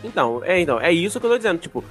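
Excitable man with a slight lisp. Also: high-pitched, racing, faint music behind. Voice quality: mild speech impediment. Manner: swearing, laughing, emphatic. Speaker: podcast co-host. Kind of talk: casual group chat.

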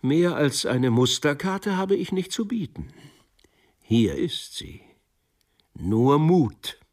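A man giving a formal, measured literary recitation for a radio play. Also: on the high side, sing-song, darkish tone. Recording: distant from the microphone.

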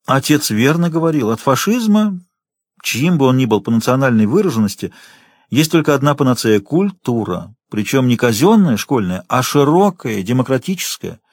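A man's voice: mid-range voice, big pitch swings, moderate pace, dry recording, studio quality. A man reading a first-person literary story as an audiobook narrator, expressively shifting tone as he goes.

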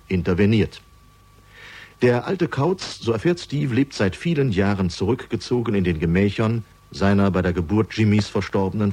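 A man reading scripted narration for a crime radio drama, measured and dramatic, with deliberate pauses. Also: lowish voice, a little mellow, slightly rough.

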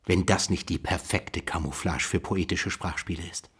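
A pondering investigator, deep husky voice, reasoning aloud, radio play dialogue. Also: male voice, steady tone, normal speed, a bit bright, full character voice. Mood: intrigued. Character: pondering male investigator puzzling over a literary case.

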